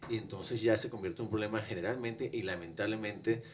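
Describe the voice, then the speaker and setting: Guest cardiologist from Venezuela, man, low voice, measured delivery, faint hum behind. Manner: calm, measured medical explanation. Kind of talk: explanatory interview answers.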